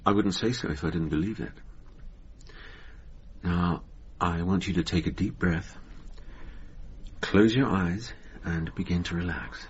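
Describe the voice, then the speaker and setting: An actor playing a calm, earnest male hypnotherapist in a radio drama, measured and reassuring as he questions and soothes. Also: low-pitched, slightly rough, dull audio, full character voice. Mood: calm, probing.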